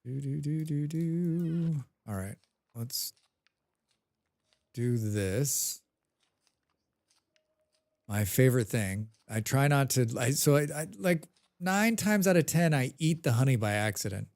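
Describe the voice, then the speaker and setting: A man's voice: mid-range voice, lively intonation, takes it slowly, pauses often, darkish tone. A man with a head cold chatting casually while live-streaming a game, humming to himself and answering his chat.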